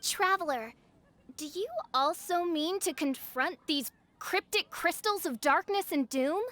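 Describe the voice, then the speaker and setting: Self-styled teenage princess of darkness, high voice, pompous archaic grandeur, game cutscene. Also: female voice, a little slow, fairly bright tone, no echo. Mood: regal, intrigued. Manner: pompous, theatrical, archaic speech. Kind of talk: video game cutscene acting.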